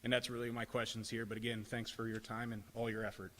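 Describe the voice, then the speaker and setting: A man asks his questions at a school board podium in a polite, hesitant way, leaning on fillers. His delivery is flat and monotone, and his tone is bright and somewhat tense. The meeting hall adds a long reverb. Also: mid-range voice, quick pace, a little hiss or hum. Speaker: public commenter. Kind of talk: public comment at a board meeting.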